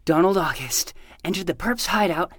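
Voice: medium pitch, lively intonation, moderate pace, dry recording, studio quality, natural voice. An actor playing a dramatic twelve-year-old boy who talks like a self-narrating hard-boiled private detective in a fiction podcast. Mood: brooding, self-important. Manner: theatrical, self-narrating in third person.

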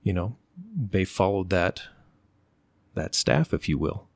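Man, middle-aged, very deep voice, unhurried, thoughtful, pauses for words, dry sound. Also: lively intonation, no echo. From United States. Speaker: interview guest. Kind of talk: relaxed conversational storytelling.

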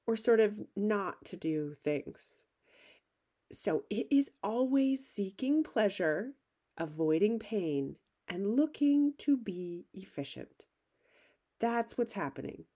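A woman hosting a solo podcast as a life coach, upbeat and conversational, her voice a little gravelly from a cold. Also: medium pitch, a little mellow. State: has a cold, slightly gravelly.

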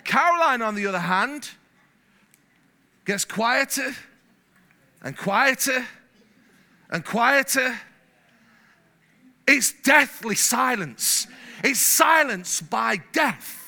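A man from England preaching a sermon in church, animated, repeating words and getting louder as he warms up. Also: extremely high pitch, drawn-out words, pauses often. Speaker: pastor. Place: church hall.

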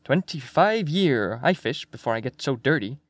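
A man's voice: medium pitch, sing-song, moderate pace, dry recording. A man voicing a sly, toothless, fussy old Swedish fisherman in an audiobook, sentences rising like questions. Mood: proud. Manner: questioning rise at sentence ends. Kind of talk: acted audiobook character dialogue.

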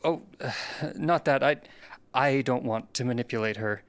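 Slow, hesitant, deferential male seeker acted. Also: mid-range voice, lightly acted. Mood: hesitant, conflicted. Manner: hesitant, halting. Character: uncertain, deferential man seeking answers about his father. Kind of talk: scripted audio drama.

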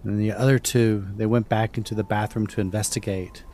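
A middle-aged man hosting a podcast, casually telling spooky stories with halting, repeated starts. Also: lowish voice, steady tone, warm tone, slight background noise. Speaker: podcast host and storyteller.

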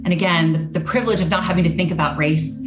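An American woman with a slightly low voice shares a personal story as a podcast guest. The recording is roomy, and music plays clearly behind her. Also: fairly even intonation, quick pace. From United States. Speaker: interview guest, teacher.

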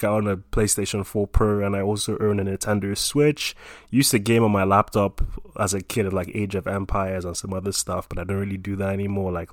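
A man's voice: fairly low pitch, dry recording, clean studio sound. Rambling young man from Nigeria. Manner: relaxed, rambling with many fillers. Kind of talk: casual chat about himself.

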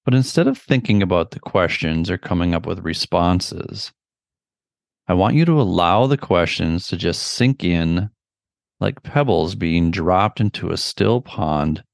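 A middle-aged man leading a guided meditation in a gentle, deliberate manner with long pauses. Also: low voice, gravelly, no echo, clean studio sound.

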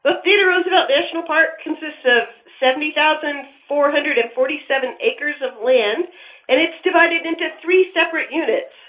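A woman's voice: high voice, normal speed. A woman giving formal, precise, prepared answers as an official in an interview. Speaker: park official being interviewed. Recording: thin phone-like line.

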